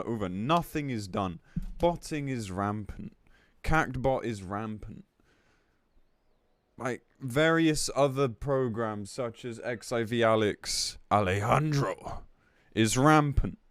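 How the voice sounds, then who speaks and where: A man's voice: mid-range voice, no room sound, clean studio sound. A man live-streaming in a casual, blunt, exasperated way, commenting between bits of reading aloud and swearing freely.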